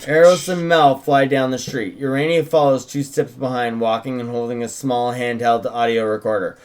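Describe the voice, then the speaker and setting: A man's voice: mid-range voice, steady tone, moderate pace, smooth. A man co-hosting an informal table read, narrating stage directions from a script. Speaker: writer and co-host reading stage directions.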